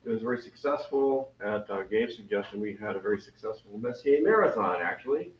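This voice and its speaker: A man with a deep voice making rambling, self-deprecating announcements at a club meeting. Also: expressive, brisk. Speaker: event committee member. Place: meeting room with a crowd. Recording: roomy, distant, dull meeting recording.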